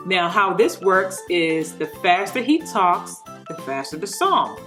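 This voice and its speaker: A woman's voice: on the low side, big pitch swings, measured pace. A woman hosting a casual toy unboxing and demonstration, explaining things simply as if to a child and viewers. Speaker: toy review host. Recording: background music behind the voice. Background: music.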